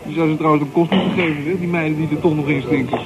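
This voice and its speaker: Man playing high-pitched streetwise pub regular. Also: lo-fi sound, lightly acted. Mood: sardonic banter. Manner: chatty, bantering, colloquial. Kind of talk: naturalistic radio drama dialogue.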